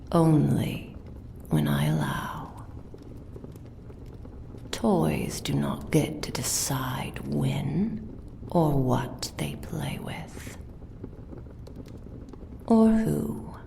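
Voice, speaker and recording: A woman playing a cruel, possessive demonic dark mage in a menacing, formal ASMR roleplay, breathy and gravelly. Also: deep voice, slight background noise, heavily acted. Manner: menacing, taunting, formal and deliberate. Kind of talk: roleplay ASMR, villain monologue.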